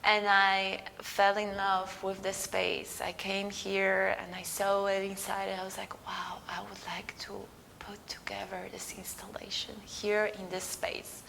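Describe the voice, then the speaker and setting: A woman's voice: medium pitch, monotone, a little slow, creaky, sharp tone, slight background noise, low-quality recording. A middle-aged woman from Poland, answering interview questions thoughtfully and searching for words. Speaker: guest artist. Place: museum gallery.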